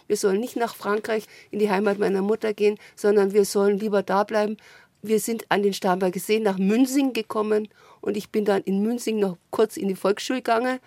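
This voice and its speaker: A woman in her eighties, a native French speaker from eastern Germany, calmly recounting her life as an interview guest. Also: mid-range voice, vocal fry, no echo. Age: 80s. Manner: unhurried, thoughtful storytelling. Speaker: interview guest, former teacher.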